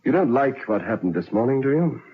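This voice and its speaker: An actor playing a stern, commanding prison governor in a radio drama, brisk and authoritative like a military major. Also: male voice, medium pitch, lively intonation, natural voice. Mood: firm, interrogating. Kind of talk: old radio drama acting. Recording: dull, noisy lo-fi vintage radio recording.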